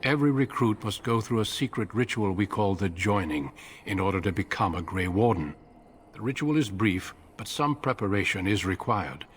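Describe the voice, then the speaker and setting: An actor playing a grave, seasoned middle-aged warrior commander in a fantasy game, measured, formal and courteous. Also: male voice, fairly low pitch, faint music behind, close to an everyday voice. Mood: solemn, measured. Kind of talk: fantasy video game acting.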